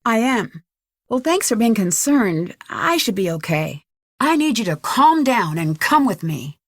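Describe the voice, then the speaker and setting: A woman's voice: on the high side, very animated, measured pace, no room sound, clean studio sound. An actress playing a young female amateur detective in a video game, speaking scripted dialogue in an earnest, polite, questioning way. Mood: concerned, matter-of-fact.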